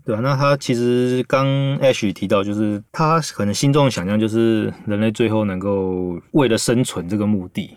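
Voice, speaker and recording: A man's voice: lowish voice, moderate pace, hardly any pauses, no room sound, studio quality. A man with a Taiwanese Mandarin accent hosting a casual discussion about books. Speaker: host.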